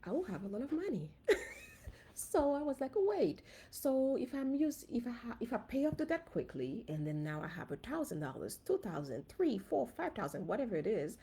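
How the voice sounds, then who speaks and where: A woman from Haiti with a Haitian accent, a dentist giving an animated, casual livestream talk to her viewers on a phone. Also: on the high side, moderate pace, breathy edge. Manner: animated, talks straight to viewers. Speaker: dentist and finance coach.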